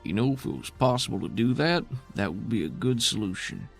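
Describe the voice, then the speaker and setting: Man playing a gruff, weary, plainspoken frontier holdout. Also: fairly low pitch, animated, fairly quick, faint music behind. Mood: wary. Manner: folksy drawl-like grammar, plainspoken and skeptical. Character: gruff, weary, defiant rural male settlement leader.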